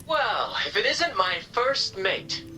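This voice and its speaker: Bright, flamboyant young seafarer. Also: male voice, high-pitched, big pitch swings, slow pace, faint music behind, exaggerated voice, low-quality recording. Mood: breezy, teasing. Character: flamboyant, breezy young male seafaring adventurer. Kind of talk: video game character dialogue.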